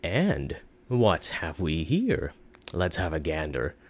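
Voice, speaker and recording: A man acts out a sly storybook pawnbroker, boastful and full of flattery. His pitch leaps about as he delivers theatrical, wheedling sales patter. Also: slow pace, full character voice. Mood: cheery. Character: sly, boastful, flattering pawn shop owner, a swindler.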